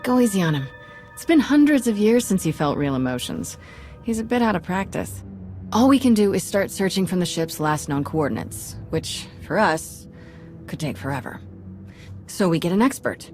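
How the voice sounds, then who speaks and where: An actress playing a practical woman fighter, brisk, reassuring and lightly joking. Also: on the low side, lively intonation, darkish tone, soft background music, lightly acted. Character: upbeat, practical woman fighter who reassures her companions.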